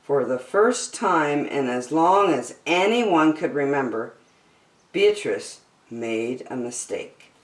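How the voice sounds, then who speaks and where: Animated, unhurried woman reading stories. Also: sits low. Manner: expressive, addresses listeners as friends. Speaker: storytime host. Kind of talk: children's picture-book read-aloud. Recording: roomy indoor echo.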